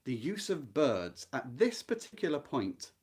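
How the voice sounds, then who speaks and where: Man lecturing with lively, swooping intonation. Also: mid-range voice. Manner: emphatic, deliberate, repeats key points. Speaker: host of a book readalong, literary commentator. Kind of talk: literary lecture, explaining a novel to viewers.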